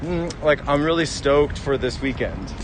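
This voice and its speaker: Casual man hosting over clear background noise. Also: a little high, animated, unhurried. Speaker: host. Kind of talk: casual chat with his wife and viewers.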